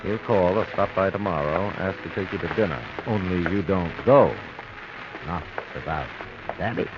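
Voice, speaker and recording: A calculating older swindler in a vintage radio drama. His voice is on the low side, with a suave, controlling way of talking. The recording is dull and noisy. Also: animated, moderate pace. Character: suave, calculating older male con man, posing as guardian. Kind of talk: 1940s radio acting.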